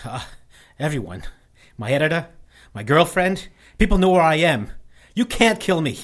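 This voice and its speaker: An actor playing a nervous young newspaper reporter in a 1940s pulp audio drama. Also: male voice, fairly high pitch, very animated, moderate pace, a bit bright. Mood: scared bargaining. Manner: nervous, stammering. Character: frightened young male newspaper reporter, bluffing then pleading.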